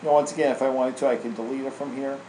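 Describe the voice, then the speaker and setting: A man walking through software in an informal, casual tutorial style, like a trainer showing someone the steps. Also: medium pitch, steady tone, rapid-fire. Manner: casual, informal, tags statements with "right?". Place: indoor room, screen recording voice-over. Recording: roomy echo, faint air-conditioning hum.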